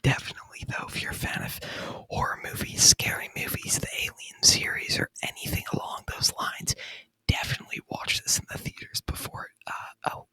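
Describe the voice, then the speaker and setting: A man speaking in a breathy, unvoiced whisper close to the microphone, chatting in an informal, rambling, enthusiastic ASMR review style. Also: a little fast, bright, forceful tone, no echo, rough recording. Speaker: ASMR video creator and gamer. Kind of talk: whispered ASMR movie review, casual chat. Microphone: close microphone, dry sound.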